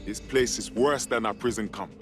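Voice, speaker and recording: A man playing a weary but helpful inside informant, low-key, in a video game. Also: normal speed, soft background music, lightly acted. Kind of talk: game cutscene dialogue.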